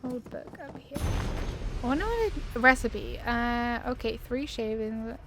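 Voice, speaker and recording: A woman's voice: a little high, expressive, a little slow, background noise. A woman hosting a gaming video with casual commentary, chatty and giggly, musing to herself as she plays. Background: game music.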